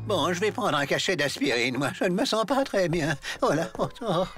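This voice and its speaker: An actor voicing a suspicious, jittery school caretaker in a French cartoon. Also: male voice, high voice, lively intonation, moderate pace. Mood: rattled, panicky. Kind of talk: French animated series dubbing. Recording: loud music behind the voice.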